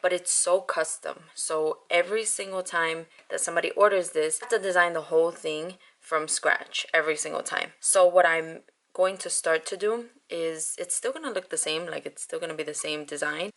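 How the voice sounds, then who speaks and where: A woman's voice: sits low, a bit bright. A woman whose first language is Spanish, chatting casually as a small business owner and explaining her craft work step by step. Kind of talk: casual vlog chat.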